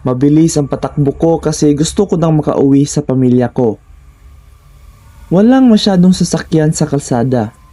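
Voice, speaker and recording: A man's voice: a little high, normal speed, mellow. A man with a Filipino accent giving a scripted first-person narration of horror stories.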